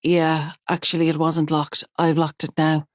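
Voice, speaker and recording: A woman voicing a relaxed husband and father who talks in an easygoing, quietly amused way. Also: sits low, a little slow, no echo, clean studio sound.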